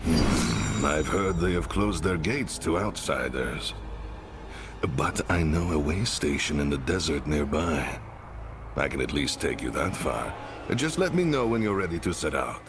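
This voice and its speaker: A gruff desert caravan guide from a video game. His voice is extremely deep and matter-of-fact, with noisy ambience behind it. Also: very animated, measured pace, heavily acted.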